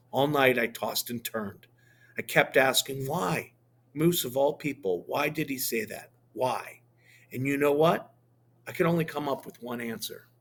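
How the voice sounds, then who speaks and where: A man reading a novel aloud, voicing a middle-aged mother who speaks in a quiet, measured, reproachful tone. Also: mid-range voice.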